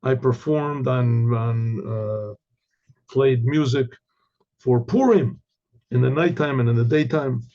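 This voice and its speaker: An older hoarse man, a rabbi, giving a Torah class with halting restarts and frequent throat clearing. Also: medium pitch, mellow, highs cut off.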